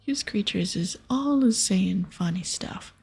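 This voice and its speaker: A woman's voice: mid-range voice, expressive, a little mellow, exaggerated voice. A woman voicing a dim, worried male ogre in whispered, broken baby-talk for a game. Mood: gruff, cautioning. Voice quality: whispered, breathy. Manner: slow, broken childlike grammar.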